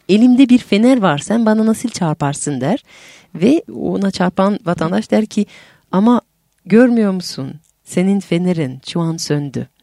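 Radio host: French woman, accented, dark mellow low voice, dry studio sound, measured storytelling. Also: no echo. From France. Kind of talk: radio conversation, telling a folk tale.

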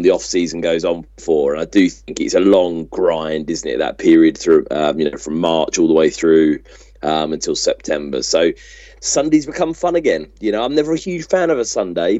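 A man's voice: sits low, warm tone, dry recording. A British man co-hosting a casual sports podcast in a laid-back, matey, colloquial way. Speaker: podcast co-host. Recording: dull, slightly lo-fi microphone.

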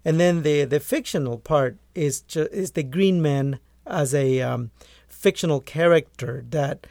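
Gravelly man from Guatemala. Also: a little high, a little slow, a little mellow, no room sound, clean studio sound. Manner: earnest, restarts phrases often. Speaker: poultry farmer and author. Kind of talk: conversational interview answers.

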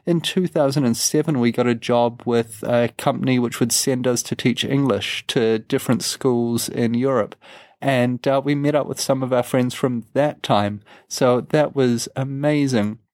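Croaky man chatting on a travel podcast. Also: mid-range voice, measured pace, no echo. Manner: relaxed, rambling with fillers. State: croaky from a cold. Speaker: podcast co-host. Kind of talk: casual travel chat.

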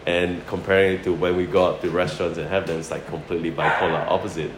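Low-voiced man from Malaysia, echoey noisy recording. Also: little pitch movement, fast talker. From Malaysia (Sarawak), later New Zealand. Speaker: chef being interviewed. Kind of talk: casual interview chat, anecdotes. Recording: roomy echo, loud background noise.

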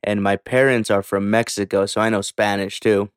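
A man's voice: on the low side, steady tone, moderate pace, clean tone, no room sound, studio quality. A man hosting a podcast in casual, hyped, slangy talk with some swearing, a native English speaker. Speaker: podcast host.

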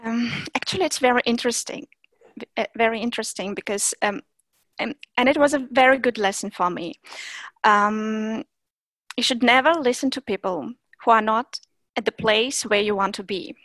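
Russian-native woman, hesitant, bright pitch. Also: moderate pace, no echo. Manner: hesitant, many fillers and restarts. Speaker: German teacher and Instagram creator. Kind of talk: interview guest, casual conversation.

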